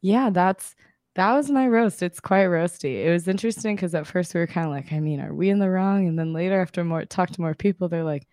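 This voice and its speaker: A young woman co-hosting a podcast, chatting casually and acting out the people in her story, with a slightly raspy voice. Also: on the low side, brisk, no echo, studio quality. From Southern California. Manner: chatty, animated retelling with quoted voices and laughs. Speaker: podcast co-host.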